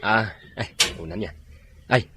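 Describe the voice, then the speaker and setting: A man's voice: fairly low pitch, a little slow, background noise, close to an everyday voice. A man with an Ilocano accent playing a bossy drinking buddy in a radio comedy drama. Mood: goading. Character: bossy drinking buddy angling for free liquor.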